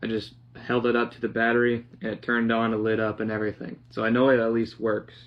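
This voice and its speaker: A young man casually narrating a hands-on project, half talking to himself in a halting mutter as he works in a living room. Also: medium pitch, monotone. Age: young adult. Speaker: DIY vlogger. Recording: dull lo-fi sound, clear background noise.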